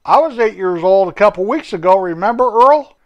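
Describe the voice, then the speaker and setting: A man voicing an excitable, chatty little boy in a children's story. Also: high-pitched, a little fast, muffled recording. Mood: eager.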